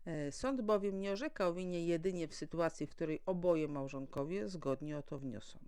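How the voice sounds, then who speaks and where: A woman's voice: on the low side, fairly quick, a little mellow, smooth. A woman presenting a formal, prepared legal explainer as an educator, setting out points one by one in a didactic way.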